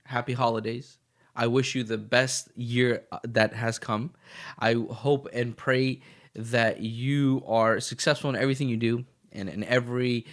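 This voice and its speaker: A man hosting his own podcast, talking casually and motivationally in a rambling way, a little sniffly as he gets over the flu. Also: on the low side. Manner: rambling and motivational, with frequent restarts. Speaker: podcast host. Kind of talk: casual solo podcast monologue.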